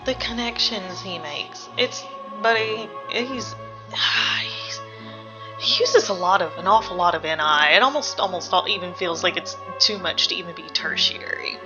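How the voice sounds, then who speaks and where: A woman giving casual game reaction commentary, creaky-voiced, analytical and sweary as she thinks aloud. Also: fairly low pitch, a little slow, highs cut off, over music.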